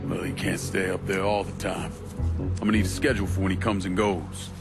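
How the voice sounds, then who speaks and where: An actor playing a cold, determined vigilante at war with mobsters in a video game cutscene, blunt and controlled. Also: male voice, deep voice, flat delivery, brisk, vocal fry, over loud music. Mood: calculating.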